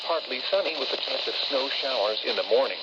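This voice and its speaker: A man's voice: medium pitch, expressive, quick pace. A synthetic man's voice reading a formal, scripted weather forecast as an automated radio announcer. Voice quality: synthetic text-to-speech voice. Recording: muffled radio broadcast with loud static. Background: radio static.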